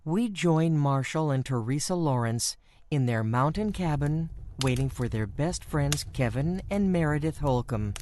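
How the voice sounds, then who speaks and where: A narrator introducing a horror radio drama in a slow, ominous manner. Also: medium pitch, animated, background noise. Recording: music score behind.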